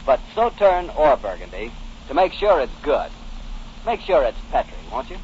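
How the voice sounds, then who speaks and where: A man's voice: a little high, very animated, normal speed, darkish tone. An American man announcing as host and sponsor's spokesman in a 1940s radio style. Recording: muffled, faintly hissy vintage radio recording.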